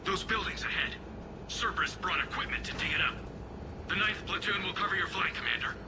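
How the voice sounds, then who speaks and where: High-voiced, earnest alien lieutenant. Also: male voice, animated, brisk. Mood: tense, dutiful. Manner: formal military reporting. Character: earnest alien male soldier, dutiful lieutenant. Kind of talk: video game cutscene acting. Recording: clear vehicle noise behind the voice.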